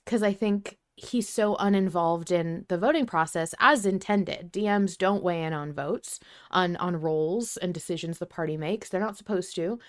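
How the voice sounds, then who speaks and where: A woman co-hosting a podcast in casual discussion, enthusiastic and candid, with casual swearing. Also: fairly low pitch. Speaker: podcast co-host.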